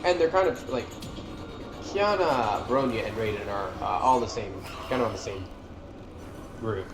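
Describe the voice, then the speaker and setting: A man's voice: lowish voice, normal speed. A man giving casual, informal commentary on game cutscenes, talking like an enthusiastic fan. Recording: roomy echo, music behind. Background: game cutscene music.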